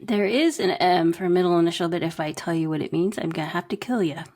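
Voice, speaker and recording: A woman's voice: on the low side, fast, darkish tone. A woman chatting casually and jokingly as an author on a podcast panel.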